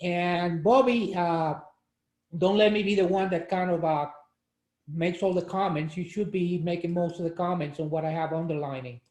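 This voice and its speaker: A man's voice: sits high, fairly even intonation, moderate pace, darkish tone, echoey room. A man in his sixties, born in Cuba, leading a casual group discussion on a video call, explaining an article with many fillers and restarts. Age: 60s. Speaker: discussion leader.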